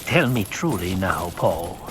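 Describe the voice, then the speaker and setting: A man voicing a stern, probing old woman of a religious order, solemn and deliberate, in an audiobook. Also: sits low, sing-song, background noise.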